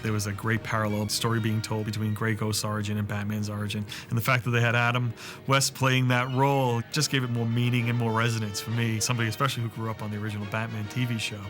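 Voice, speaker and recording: A man's voice: lowish voice, level tone, quick pace, over music. A man giving commentary in a documentary interview.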